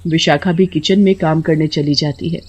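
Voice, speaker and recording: A woman's voice: low-pitched, steady tone, moderate pace, a little mellow, breathy edge. A woman reading a scripted story as its narrator.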